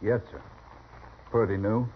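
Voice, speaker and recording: Actor as soft-spoken, low-voiced polite gunfighter. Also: male voice, steady tone, a little slow, close to an everyday voice. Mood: calm, guarded. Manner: soft-spoken, laconic, courteous. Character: quiet, polite, easygoing gunfighter. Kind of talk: 1950s radio western acting. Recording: muffled, noisy 1950s radio recording.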